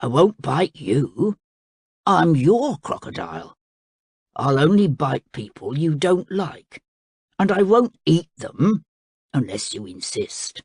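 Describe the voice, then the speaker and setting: A hungry crocodile with too many teeth, voiced by a woman reading a storybook, speaking in a slow, low drawl. The voice stays husky and breathy. The sound is dry and close. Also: sing-song, a little mellow, no echo, heavily acted. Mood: slow menacing. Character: greedy toothy male crocodile, slow and deep.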